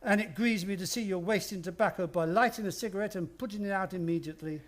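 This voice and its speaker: An actor playing a sly, menacing old villain in a radio suspense play, speaking with unctuous, theatrical courtesy. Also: male voice, high voice, fast talker, lightly acted. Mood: mock-kindly. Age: older. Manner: mock-polite, unctuous, theatrical.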